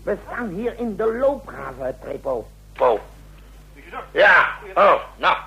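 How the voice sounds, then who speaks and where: Husky, commanding president. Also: male voice, high-pitched, big pitch swings, slow pace, pushed and bright, muffled recording, heavily acted. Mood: urgent, insistent. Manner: curt, commanding, clipped orders. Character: commanding, brisk, wry president rousing his generals at night. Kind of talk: radio drama acting.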